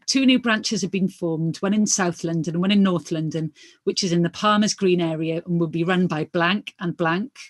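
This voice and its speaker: A woman's voice: fairly low pitch, normal speed. A woman reads aloud in the role of a lawyer at a hearing. Her delivery is formal and questioning, with small stumbles as she works through the transcript.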